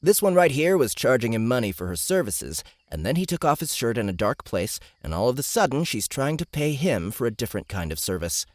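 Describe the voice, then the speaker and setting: A man plays a cocky young adventurer sidekick in a fantasy audiobook. He jeers and rattles on, pitched up from the narrator's low voice. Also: sing-song, brisk, few pauses, no room sound, clean studio sound, close to an everyday voice. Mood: teasing. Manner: jeering, talkative. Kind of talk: audiobook character acting.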